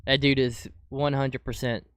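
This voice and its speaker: A man hosting a casual podcast and reacting to news clips. He is excitable, says "dude" a lot, and is losing his voice from a cold. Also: medium pitch, a little slow, clean tone. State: losing his voice, getting sick. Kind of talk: casual commentary over clips. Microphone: close desk mic.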